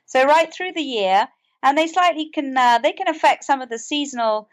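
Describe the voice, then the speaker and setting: A woman's voice: high-pitched, expressive, moderate pace. A British woman speaking conversationally as an interview guest, explaining things in an earnest way. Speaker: podcast guest, author and mental health advocate.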